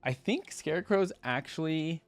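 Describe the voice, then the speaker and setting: A man's voice: fairly high pitch, animated, measured pace, no echo, faint music behind. A man hosting a reaction channel, talking conversationally and thinking aloud between stretches of reading lore. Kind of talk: casual reaction commentary with read-aloud lore.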